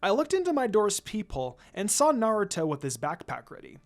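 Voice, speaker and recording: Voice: medium pitch, wildly swooping pitch, brisk. A narrator reading a scripted first-person story aloud, acting out bits of dialogue along the way. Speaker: fan fiction narrator.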